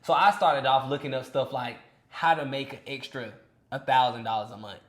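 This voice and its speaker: A man's voice: medium pitch, moderate pace, fairly bright tone. Earnest young man in an echoey room. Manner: loose and earnest, peppered with "you feel me" and "bro". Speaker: podcast speaker. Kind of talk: casual podcast chat.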